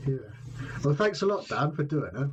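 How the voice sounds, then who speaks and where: A man's voice: fairly high pitch, very animated, normal speed, husky. A man with a Somerset accent hosting a casual chat, rambling and self-deprecating as he talks. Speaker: podcast host.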